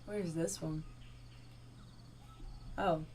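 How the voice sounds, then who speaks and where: A woman's voice: low voice, steady tone, extremely slow, noisy background. A woman streamer making offhand, chatty remarks while she plays a game. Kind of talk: casual gameplay chat. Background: game music.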